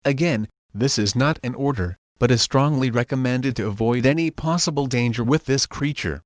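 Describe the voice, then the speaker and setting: A man's voice: mid-range voice, normal speed, dry recording. A man reading a scripted news bulletin as a broadcaster, with informal, casual asides. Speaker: news broadcaster in an animated emergency-alert story. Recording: music behind the voice. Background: prominent music.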